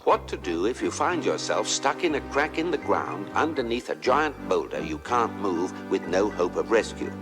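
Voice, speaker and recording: An actor playing an urbane guidebook narrator in a comic sci-fi radio drama, formal, measured and deadpan. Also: male voice, fairly low pitch, over loud music. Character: urbane male guidebook narrator, calm, drily ironic.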